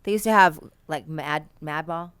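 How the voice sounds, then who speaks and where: A woman's voice: deep voice, moderate pace, fairly bright tone, smooth. A woman hosting a casual interview, chatty and encouraging as she asks her guest questions. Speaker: podcast host.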